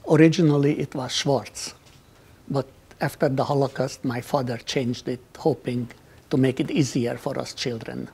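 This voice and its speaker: A man's voice: medium pitch, fairly even intonation, normal speed, gravelly, a little mellow, breathy edge. A man in his eighties from Hungary, recounting childhood memories in an interview. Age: 80s. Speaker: Holocaust survivor interviewee. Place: quiet indoor library. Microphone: lapel.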